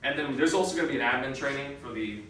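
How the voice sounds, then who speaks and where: A man with a bright, forward voice walks through equipment training step by step. He explains things methodically and informally. He is heard in an echoey room from some distance off the microphone. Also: mid-range voice, quick pace. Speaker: trainer demonstrating classroom audio and intercom equipment. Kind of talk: hands-on training walkthrough. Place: indoors in a school room. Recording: roomy echo, distant mic, faint noise.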